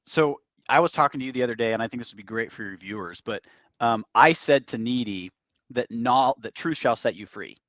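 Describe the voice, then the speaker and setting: A man's voice: medium pitch, expressive, fairly quick, fairly bright tone, dry recording. Earnest man on a dull, dry line. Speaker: podcast guest host. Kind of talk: casual podcast conversation.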